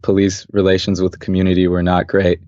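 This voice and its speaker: A young man from Los Angeles, a podcast guest and designer, talking thoughtfully and calmly in a relaxed interview and laughing easily. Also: low-pitched, quick pace, no room sound. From Los Angeles, USA. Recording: dull, dry podcast audio.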